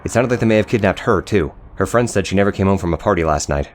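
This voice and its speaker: A man playing a nervous, agitated high school boy in an audio drama, hesitantly reporting a crime. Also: fast, a little hiss or hum, close to an everyday voice. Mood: urgent.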